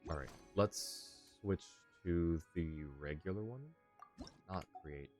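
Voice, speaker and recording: A male game streamer with a low voice talks casually while he plays. He rambles and laughs often. Quiet music runs underneath. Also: slow. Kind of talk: casual gameplay chat.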